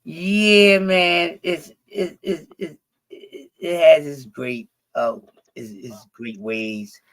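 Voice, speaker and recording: A man's voice: fairly high pitch, takes it slowly, fairly bright tone. Rambling, cussing Philly man. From Philadelphia. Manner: profane, rambling, with many restarts. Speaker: stream guest. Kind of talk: casual chat.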